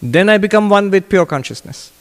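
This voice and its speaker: Man, spiritual teacher, higher-pitched voice, deliberate emphatic phrasing, question-and-answer teaching, long hall reverb. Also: expressive.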